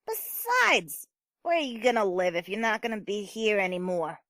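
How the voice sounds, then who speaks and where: A woman's voice: medium pitch, big pitch swings, moderate pace, fairly bright tone, no room sound, clean studio sound. A woman playing a sardonic, teasing, unimpressed neighbour in an amateur audio drama.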